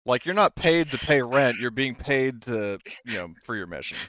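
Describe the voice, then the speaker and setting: Male game master, smooth clean voice, loose rambling delivery, dry and slightly dull recording. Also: mid-range voice, sing-song, normal speed, fairly bright tone, no room sound. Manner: rambling, hesitant, self-correcting. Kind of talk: casual tabletop game talk.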